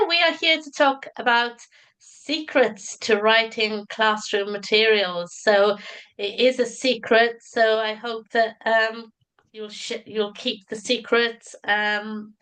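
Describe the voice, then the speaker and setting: A middle-aged woman from Wales speaks with a clear Welsh accent and a bright, slightly high voice. She presents a teachers' webinar in a chatty, enthusiastic way. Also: little pitch movement, slow pace, low-quality recording. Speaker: materials writer and teacher educator.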